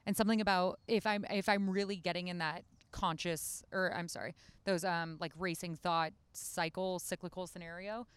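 A woman's voice: lowish voice, fairly even intonation, normal speed, few pauses, clear, resonant tone, no room sound. A woman talking casually as a podcast guest outdoors in a shaded backyard. She rambles with lots of "like" and restarts her sentences.

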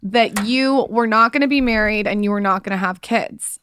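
A woman's voice: medium pitch, normal speed, fairly bright tone, clean tone, no echo. Chatty woman podcast co-host, close dry sound. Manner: informal, teasing, chatty. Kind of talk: casual chat.

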